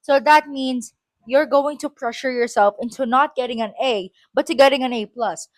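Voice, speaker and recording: A woman's voice: high voice, moderate pace, no room sound. A woman with a Filipino accent reads her own school essay aloud, breaking off for chatty, self-correcting asides.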